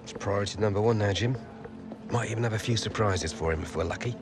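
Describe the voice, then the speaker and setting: A man's voice: fairly low pitch, fast, music in the background, close to an everyday voice. A man playing an upbeat football agent in a video game cutscene, speaking in a reassuring, jokey way. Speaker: player's agent.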